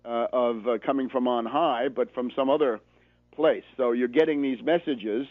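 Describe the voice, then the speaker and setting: A man's voice: mid-range voice, steady tone, normal speed, dry recording. An American man hosting a political radio show, delivering emphatic, sardonic commentary as a monologue with rhetorical repetition. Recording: narrow phone-like sound, dry.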